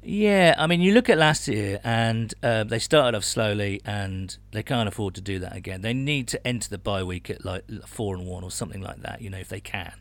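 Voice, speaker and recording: A man's voice: on the low side, normal speed, hardly any pauses. A British man co-hosting a sports podcast in a casual, enthusiastic, blokey way with emphatic phrasing. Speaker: podcast host.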